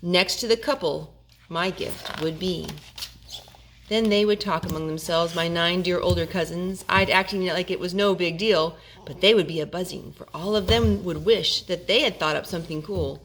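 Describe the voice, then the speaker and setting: A woman reading a rhyming picture book aloud to young children, with expressive, gently dramatic storytelling. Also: lowish voice, normal speed, a little hiss or hum.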